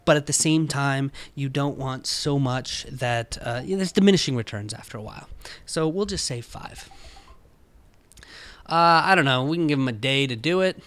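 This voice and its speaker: A man's voice: mid-range voice, lively intonation, moderate pace, a bit bright, no room sound. A man presenting a casual screen-share tutorial, talking informally through each step.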